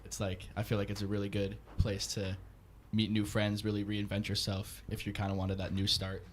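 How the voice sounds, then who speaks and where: Low, even-toned young man. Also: brisk, slight background noise. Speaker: college student guest. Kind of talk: casual chat.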